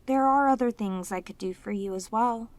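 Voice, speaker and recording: A woman playing a sweet, clingy demoness in a romantic audio roleplay, speaking tenderly and haltingly with intense devotion. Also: a little high, a little mellow, dry recording, close to an everyday voice. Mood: tender, pleading. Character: sweet, devoted, clingy yandere demoness, long sealed away.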